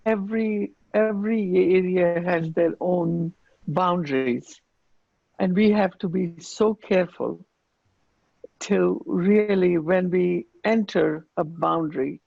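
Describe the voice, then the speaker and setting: An earnest woman speaks slowly, with a gravelly, fry-tinged voice. Her answers come with frequent pauses and fillers. The sound is dry and muffled, like lo-fi video call audio. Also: fairly low pitch, mellow, dry recording. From Afghanistan. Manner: earnest, unhurried, many fillers. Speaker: panelist running girls' schools. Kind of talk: panel discussion answer.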